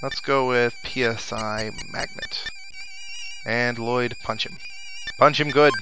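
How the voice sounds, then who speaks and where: Male let's play host, mid-pitched, off-the-cuff commentary with game music clearly behind. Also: fairly even intonation, takes it slowly, rough recording. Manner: thinking aloud to viewers. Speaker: gaming video host. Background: video game music and sound effects.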